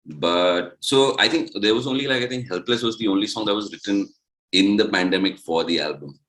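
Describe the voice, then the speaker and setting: Young Indian musician, low male voice, laid-back and rambling, casual interview guest. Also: a little fast, poor audio. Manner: relaxed, loose, many "like" fillers. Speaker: musician, duo member.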